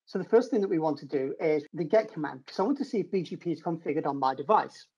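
A middle-aged British man with a husky voice explains a technical demo step by step in an informal screen-share tutorial. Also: on the high side, expressive, fast talker, darkish tone, highs cut off. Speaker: developer advocate giving a technical demo. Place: home room, indoors.

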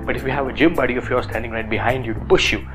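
Indian man, thirties, fitness vlogger, chatty with fillers, music behind, middle pitch. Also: racing, low-quality recording. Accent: Indian English. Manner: animated, gestures with both hands, many fillers. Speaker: fitness influencer. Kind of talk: casual talk to camera.

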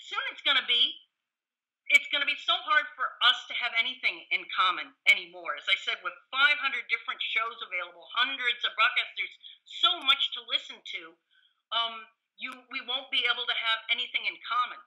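A woman's voice: a little high, fairly quick, bright, forceful tone, low-quality recording. A middle-aged or older woman, an academic guest in a conversational interview, talking emphatically and enthusiastically and repeating words mid-thought. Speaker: professor and author, podcast guest.

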